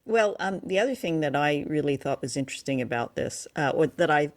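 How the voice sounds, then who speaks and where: A woman's voice: low voice, lively intonation, a little fast, dry recording. Woman co-host, dry close studio sound. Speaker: radio show and podcast co-host. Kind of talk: conversational discussion of an old radio drama.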